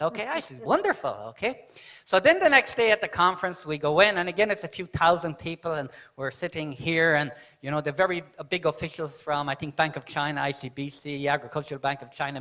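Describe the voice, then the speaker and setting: Lively, high-voiced man on stage. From Ireland. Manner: animated storyteller, repeats "okay?" and "fantastic". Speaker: conference host. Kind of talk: live conference speech with anecdotes. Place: large conference hall.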